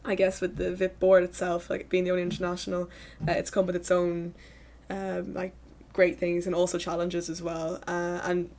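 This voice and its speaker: A young woman hosts a casual student discussion. Her voice sits a little low and stays quite flat in melody, and she hedges as she thinks aloud. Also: normal speed, few pauses. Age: young adult. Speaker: student host asking questions. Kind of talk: casual chat.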